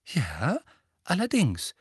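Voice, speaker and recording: Storybook pupil: male reader as assertive teenage girl, bookish and earnest, raised lively pitch. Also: moderate pace, dry recording, clean studio sound. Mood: defiant. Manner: prompt, clear, assertive.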